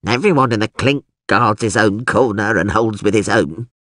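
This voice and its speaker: A man's voice: fairly low pitch, normal speed, fairly bright tone, dry recording, studio quality, distant mic, natural voice. A man voicing a thin old prisoner in an audiobook, mumbling, world-weary and gloomy yet proud. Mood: resigned. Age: older.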